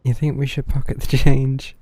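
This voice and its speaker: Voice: medium pitch, little pitch movement, normal speed, mellow, slightly rough. A game streamer commenting casually during a playthrough in a dry, laid-back way.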